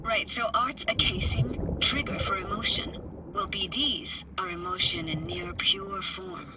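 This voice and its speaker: An actress playing a playful, self-assured young techie and diver in a video game, with a slangy, teasing, laid-back way of talking. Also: female voice, mid-range voice, expressive, measured pace, heavy background noise, close to an everyday voice. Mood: focused, casual. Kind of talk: cinematic game dialogue.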